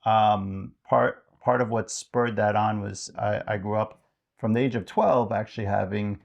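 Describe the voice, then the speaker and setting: Gravelly low-voiced man, reflective and casual. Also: steady tone, unhurried, lo-fi sound. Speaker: podcast co-host and author being interviewed.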